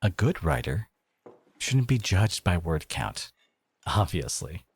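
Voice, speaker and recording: Low-voiced, expressive protective boyfriend, acted by a man. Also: takes it slowly, husky, exaggerated voice. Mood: defensive, irritated. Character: devoted, protective boyfriend confronting his partner's family, adult man. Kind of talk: ASMR boyfriend audio roleplay.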